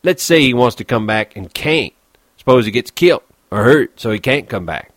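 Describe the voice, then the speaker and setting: A man's voice: mid-range voice, animated, moderate pace, no room sound, clean studio sound, pitched above the performer's own voice, natural voice. A man voicing a lonely, bitter stable hand in an audiobook, taunting and scornful, brooding in colloquial rural ranch talk.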